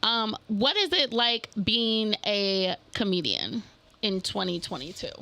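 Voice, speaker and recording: A woman's voice: medium pitch, lively intonation, bright, forceful tone, a little hiss or hum. A woman hosting a radio talk show, chatting casually and teasing her guests. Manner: playful, teasing, quick interjections.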